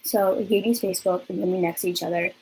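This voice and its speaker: A woman's voice: fairly low pitch, steady tone, fast, a little mellow, a little hiss or hum, low-quality recording. A young woman from California telling a rambling personal story in a casual vlog, full of fillers and swearing. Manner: chatty, lots of fillers and swearing. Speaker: vlogger.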